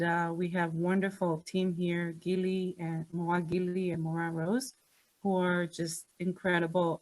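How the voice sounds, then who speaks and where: Woman, Israeli accent, native Hebrew speaker, lowish voice, teacher at a virtual open house, video call. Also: rough recording. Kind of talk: school open house presentation.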